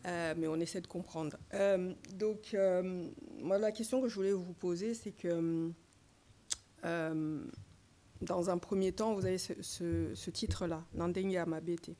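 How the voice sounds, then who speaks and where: A woman journalist from Congo politely asking a question at a press conference, pausing often with hesitant fillers as she speaks into a standing microphone. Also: on the low side, steady tone, dark tone.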